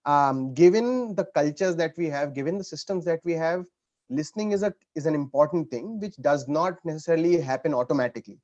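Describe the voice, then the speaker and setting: A man answering as a panel speaker, explaining in a halting way with repeats and rephrasing, on dull call audio. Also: fairly high pitch, a little mellow.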